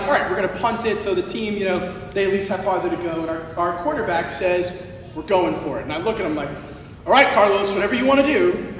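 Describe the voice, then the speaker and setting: A man with a slightly hoarse voice preaching in a conversational, storytelling style, animated and emphatic, drawing out phrases for effect. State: hoarse from shouting the day before. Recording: echoey large hall, distant, faint rumbling noise.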